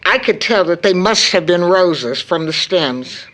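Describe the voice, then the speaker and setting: A woman playing a worried, caring mother in an audio drama, speaking in a measured, earnest way. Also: lowish voice, expressive, a bit bright. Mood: grave, troubled. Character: worried, caring mother recounting her daughter's breakdown.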